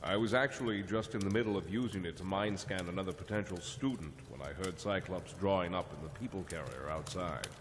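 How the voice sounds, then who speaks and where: An actor playing a wise, calm telepath mentor who speaks in a measured, lecturing way. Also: male voice, low voice, a little hiss or hum, lightly acted. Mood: grave. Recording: long reverb over the voice. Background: music score.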